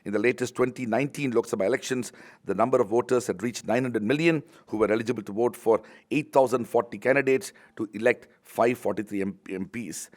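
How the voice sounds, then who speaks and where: A middle-aged man with an Indian English accent, a Tamil native speaker, giving a formal speech at a book launch as a university vice chancellor, with measured academic phrasing and frequent pauses. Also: mid-range voice.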